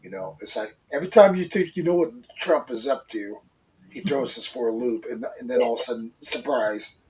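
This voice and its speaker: A man with a stuffed-up, congested voice co-hosts a casual morning talk show. He rambles through his points with plenty of fillers and restarts. His voice sits at a middle pitch and sounds a little distant from the microphone. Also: brisk, a little mellow. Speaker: co-host. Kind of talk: casual opinion chat. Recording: voice a bit far from the mic.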